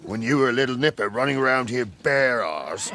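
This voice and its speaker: An actor playing an indignant, dryly scornful old garrison captain in a video game, with a sardonic manner. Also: male voice, mid-range voice, expressive, fairly quick, background noise, lightly acted. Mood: mocking. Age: older. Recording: rowdy crowd behind the voice.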